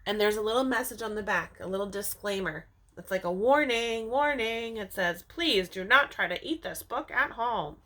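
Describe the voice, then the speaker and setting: A woman teacher reading a picture book aloud to young children, expressively, with dramatic emphasis and drawn-out words, speaking to them directly. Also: mid-range voice, animated, pushed and bright. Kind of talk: animated children's storybook read-aloud.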